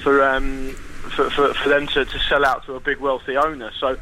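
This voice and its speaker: A man's voice: mid-range voice, flat delivery, normal speed, bright, forceful tone, a little hiss or hum, poor audio. A man chatting about football as a podcast guest, wry and self-deprecating, with frequent restarts. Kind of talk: casual podcast chat.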